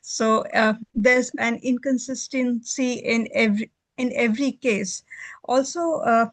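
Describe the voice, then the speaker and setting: A speaker offers thoughts in a book discussion, in a hesitant, halting manner. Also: medium pitch, a bit bright, no room sound. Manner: hesitant, halting, frequent repetitions. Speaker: book club discussion participant. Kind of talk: book discussion, improvised commentary.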